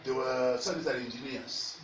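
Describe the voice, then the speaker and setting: An older man with a Zambian accent recollecting informally in a halting, rambling way. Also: mid-range voice, fairly even intonation. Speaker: environmentalist. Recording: noisy, echoey, distant mic.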